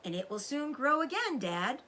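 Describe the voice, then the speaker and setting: A woman's voice: high voice, sing-song, normal speed, sharp tone, slight background noise, pitched above the performer's own voice, exaggerated voice. A woman voicing a small, innocent young fox in a storybook, with childlike, timid questioning. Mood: hopeful.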